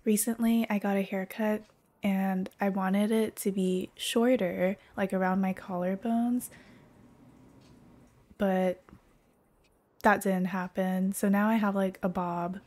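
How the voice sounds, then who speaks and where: A young woman with a slightly hoarse voice, chatting casually and playfully as she livestreams a game. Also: medium pitch, fairly even intonation, very slow, long pauses, a little mellow. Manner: playful, rambling. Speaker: VTuber streamer.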